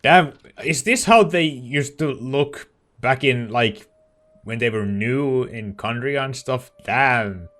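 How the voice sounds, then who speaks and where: A man's voice: medium pitch, slow, fairly bright tone. A man giving casual let's play commentary, musing and often trailing off.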